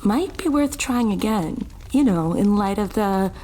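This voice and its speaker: A woman's voice: mid-range voice, expressive, measured pace, warm tone. A frank young woman in an audio drama, played by an actress, in a mid-range voice. She talks straight and reassures her friend, with a casual swear now and then. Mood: reassuring. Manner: direct, casually profane.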